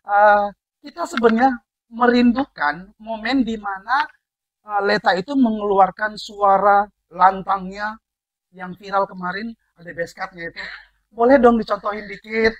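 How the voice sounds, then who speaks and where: A man's voice: high-pitched, measured pace, no room sound, lo-fi sound. A man hosting a radio podcast, chatting casually and teasing his guests with eager questions, speaking with a Batak accent. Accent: Batak Indonesian. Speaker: podcast host. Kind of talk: casual interview chat.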